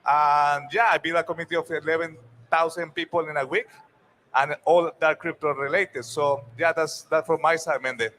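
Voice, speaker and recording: Bright-voiced man from Colombia. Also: on the high side, fairly even intonation, normal speed, slight background noise. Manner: friendly, upbeat, repeats words. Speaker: panelist. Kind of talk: conference panel talk. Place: conference stage.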